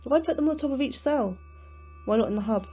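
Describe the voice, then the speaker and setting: An actress playing an anxious, apologetic astrobiologist full of questions in a sci-fi horror audio drama. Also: female voice, high-pitched, lively intonation, fast talker, mellow. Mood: inquisitive, uneasy.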